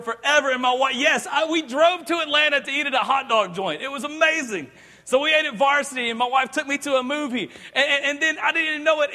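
A man, preaching an excitable, joking sermon and often stammering and repeating words. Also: extremely high pitch, big-room sound. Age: 30s. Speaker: pastor. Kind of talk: energetic sermon. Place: large church hall.

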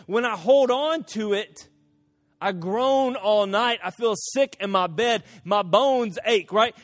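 A middle-aged man preaching a sermon, telling stories in an animated way, rising to emphatic peaks and dropping to hushed asides. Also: sits high. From Central America. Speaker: pastor.

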